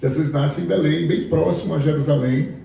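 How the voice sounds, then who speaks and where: Distant, echoey preacher with a Pernambuco accent. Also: male voice, a little high, fairly even intonation, moderate pace, gravelly, mellow. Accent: Pernambuco Portuguese. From Pernambuco, Brazil. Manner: storytelling, asks the audience questions. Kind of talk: sermon teaching a congregation. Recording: echoey hall, voice from afar.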